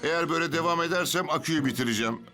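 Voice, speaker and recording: An actor playing an anxious, fretful bus driver in a children's cartoon dub. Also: male voice, a little high, racing, over music, lightly acted. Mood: worried. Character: anxious, jumpy male bus driver minding stranded children.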